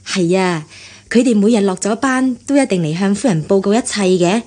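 A woman's voice: mid-range voice, moderate pace, darkish tone, natural voice. An actress playing a chatty female servant gossiping about her mistress in a radio drama, with a Hong Kong Cantonese accent. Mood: gossipy. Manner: gossipy, explanatory. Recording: husky-sounding radio rebroadcast, faint music.

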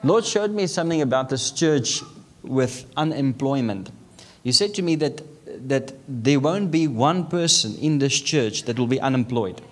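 A man's voice: mid-range voice, animated, a little slow, a little hiss or hum. A man in his thirties from South Africa, Afrikaans his first language, preaching a sermon with a South African accent on a handheld mic in a large church. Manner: chuckling often, building to emphatic declarations. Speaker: guest preacher. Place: large church sanctuary, indoors. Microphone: handheld microphone.

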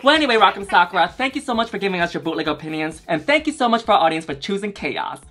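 A man's voice: sits high, lively intonation, very fast, bright, forceful tone, slight background noise. A man who hosts as a drag queen, asking teasing, leading questions in casual chat. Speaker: host. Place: studio room with blue backdrop.

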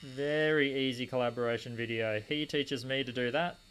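A young man talks in an easy, rambling way on a live stream, with a voice sitting at an ordinary middle pitch. He chats to viewers from a cramped home desk corner, picking up their comments one after another while he keeps working. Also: steady tone, normal speed, fairly bright tone, slight background noise. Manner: rambling, answers chat comments aloud. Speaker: stream host polishing gemstones. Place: small home desk corner with a rotary tool running.

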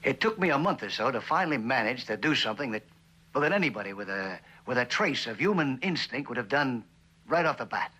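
An actor in his forties with an American accent playing a guilt-ridden, bitterly self-mocking husband in a halting, hesitant 1960s television drama style. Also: mid-range voice, expressive, pushed and bright, slightly rough. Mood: bitter self-mockery. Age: 40s. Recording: noisy vintage television soundtrack.

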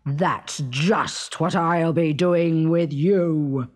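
A woman voicing a huge, menacing male giant in a storybook, slow and chuckling as she relishes the threats. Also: deep voice, fairly even intonation, a little mellow, voice pushed down, heavily acted. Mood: chuckling menace. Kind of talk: dramatised storybook reading.

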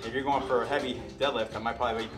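Fast-talking trainer guy in a gym, quick cues, music pumping loud behind. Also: medium pitch, fairly even intonation. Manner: quick, teasing, instructive. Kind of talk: coaching cues. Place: large indoor gym.

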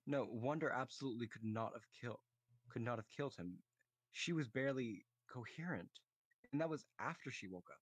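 A man improvising in-character dialogue as a roleplay player, in a halting, hesitant way. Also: medium pitch, breathy edge, dry recording.

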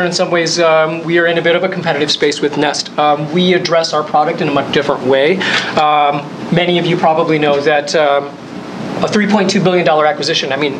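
A man speaking as a manufacturer on a discussion panel, measured and businesslike with frequent fillers, in a conference room. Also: fairly high pitch, background noise.

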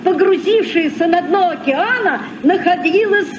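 An actor playing an eager youthful ship's recorder, declaiming clearly in a vintage radio play. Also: very high voice, animated, unhurried, far from the mic. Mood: earnest. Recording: muffled, noisy old Soviet radio recording.

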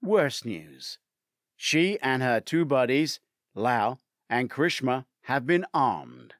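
Guy doing brisk detective colleague, deadpan teasing, audiobook, dry close sound. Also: medium pitch, no echo. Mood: matter-of-fact. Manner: matter-of-fact, wry. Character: brisk, teasing male investigator colleague delivering bad news.

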